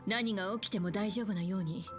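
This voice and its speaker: A composed mature woman leader from a game speaks in a measured, cautious way, voiced by an actress. Her lines come quickly over steady game music. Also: mid-range voice, lightly acted. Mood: calm. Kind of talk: Japanese game voice acting.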